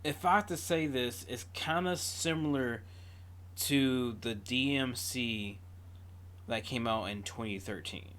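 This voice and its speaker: A man hosts a reaction video in a mid-pitched voice. He talks casually and off the cuff, rambling and often wandering into side stories before circling back. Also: slow pace, fairly bright tone. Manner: rambling, digressive storytelling.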